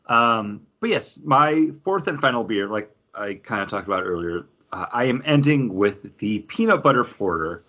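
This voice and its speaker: A man's voice: lowish voice, animated, moderate pace, hardly any pauses, sharp tone, lo-fi sound. A man hosting a podcast, giving casual, enthusiastic reviews in an informal, emphatic way. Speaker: podcast host reviewing beer and comics.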